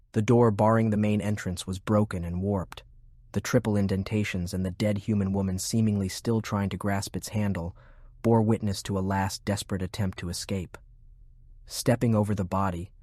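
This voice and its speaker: Audiobook narrator: male, lowish pitch, measured descriptive fiction read, dry sound. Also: a little mellow, dry recording.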